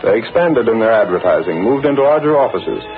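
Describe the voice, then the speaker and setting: An actor working as the formal, dramatic narrator of an old-time radio crime serial. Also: male voice, fairly low pitch, very animated, a little fast. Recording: tinny old radio sound with music.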